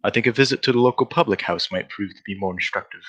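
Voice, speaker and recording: An actor playing a brisk, self-assured gentleman detective in an old-style radio drama, with precise, formal diction. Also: on the low side, muffled recording. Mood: assured, instructive. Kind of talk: 1940s radio drama acting.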